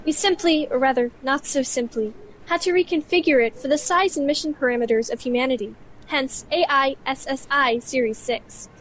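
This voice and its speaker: An actress playing an exacting, earnest computer scientist in a science fiction radio play. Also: female voice, high voice, moderate pace, fairly bright tone, slight background noise. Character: exacting female artificial intelligence scientist, earnest. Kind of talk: scripted sci-fi radio play.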